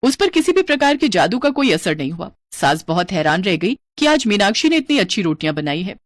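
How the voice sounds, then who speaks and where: A woman's voice: mid-range voice, very animated, fairly quick, dry recording, studio quality. A woman working as a storyteller, narrating a scripted moral tale.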